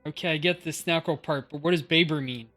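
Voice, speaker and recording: A woman's voice: sits low, fast talker, fairly bright tone, soft background music. A woman live-streaming a video game, chatting casually and informally with viewers. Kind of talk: casual chat, game commentary.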